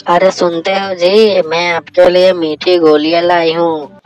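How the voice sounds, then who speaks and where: A woman's voice: fairly low pitch, little pitch movement, measured pace, darkish tone, natural voice. A woman playing a long-suffering, concerned village wife in a cartoon moral story. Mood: coaxing. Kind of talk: Hindi cartoon storybook acting. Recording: dull lo-fi sound with music.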